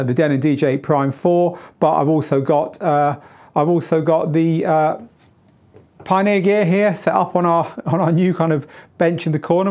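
A man's voice: a little high, darkish tone. Tired-voiced man hosting a live q&a. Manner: chatty, filler-heavy, restarts often; moves around gesturing at equipment while talking. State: voice tired and going hoarse. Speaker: DJ tutor and live Q&A host. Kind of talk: live-streamed Q&A chat. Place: small home studio with shelves of gear. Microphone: lapel mic.

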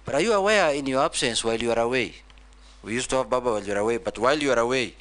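Casting: Kenyan male legislator, medium pitch, halting, repetitive, formal but unscripted questions. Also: fairly bright tone. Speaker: member of parliament questioning a nominee. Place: large parliament chamber.

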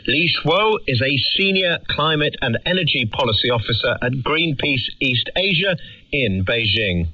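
Guy hosting a radio news interview, medium pitch, muffled shortwave sound. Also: lively intonation, normal speed, husky. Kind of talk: broadcast current-affairs interview and scripted links. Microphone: broadcast heard over shortwave radio.

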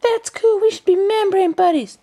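A woman's voice: extremely high pitch, moderate pace, warm tone. A woman voicing a small, chatty, eager mitochondrion girl with a put-on Australian accent, in a comic educational sketch.

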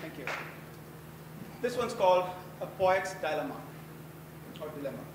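A man in his thirties reading spoken word poetry on a small stage in a measured, deliberate way, each line falling at its end. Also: fairly high pitch, lively intonation. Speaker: poet at an open mic. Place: small bar stage, indoors. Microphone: handheld-type mic on stand, picked up by a distant camera. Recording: echoey room from far off, faint noise.

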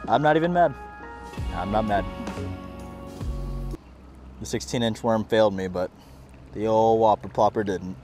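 A young man in his twenties chatting casually in a fishing vlog, excitable and prone to blurting out exclamations. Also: on the low side, unhurried, pauses often. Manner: excitable, blurts out exclamations, says "freaking" a lot. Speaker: fishing vlogger. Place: pond bank outdoors, then home gaming room indoors. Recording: background music playing.

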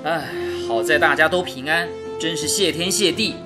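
An actor playing a sensible, fussing young married man in a radio drama, earnest and gently chiding. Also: a little high, normal speed, over music, lightly acted. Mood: concerned. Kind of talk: Mandarin radio drama acting.

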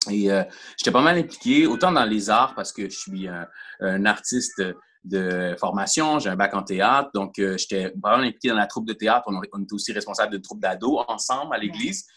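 A man in his thirties from Montreal with a Quebec French accent, speaking haltingly in a casual video-call interview from a room at home. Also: fairly low pitch, fairly bright tone, breathy edge. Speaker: incoming church staff member. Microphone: laptop camera on a video call.

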